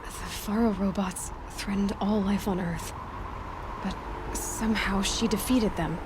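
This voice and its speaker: A woman's voice: mid-range voice, fairly even intonation, measured pace, background noise, lightly acted. A woman playing a curious, determined young adventurer in a video game, musing aloud over background ambience. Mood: thoughtful.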